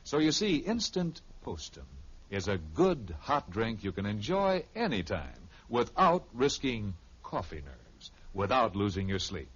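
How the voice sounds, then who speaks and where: An American man delivering a folksy, persuasive 1950s radio commercial in a husky voice. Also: lowish voice, huge pitch swings, measured pace, fairly bright tone. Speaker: commercial pitchman. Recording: dull vintage radio recording.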